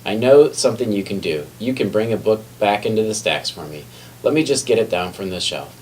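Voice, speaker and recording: A man voicing a kindly but firm woman head librarian in a storybook, polite and gently authoritative. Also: fairly low pitch, lively intonation, brisk, close to an everyday voice. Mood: calm, polite. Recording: distant mic with faint background noise.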